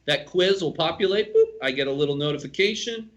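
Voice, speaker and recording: Guest in his fifties, financial educator, slightly higher voice, upbeat informal pitch. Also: expressive, fairly quick, dull audio. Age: 50s. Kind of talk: casual radio chat.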